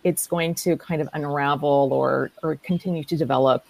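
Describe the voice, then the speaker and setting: A woman's voice: sits low, fairly even intonation, moderate pace, creaky, darkish tone, no room sound. A woman on a panel explaining things in a casual, conversational way. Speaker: astrologer on a panel.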